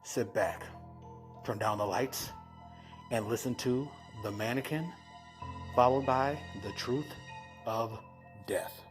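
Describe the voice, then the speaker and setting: A man hosting with a scripted introduction, phrased in a measured, deliberate announcer manner. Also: mid-range voice. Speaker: host. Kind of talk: scripted introduction to old radio shows. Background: music.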